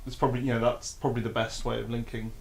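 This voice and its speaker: A man in the audience making a point in a quick, matter-of-fact way. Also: medium pitch, flat delivery, gravelly, a little hiss or hum, poor audio. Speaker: audience member. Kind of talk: conference discussion.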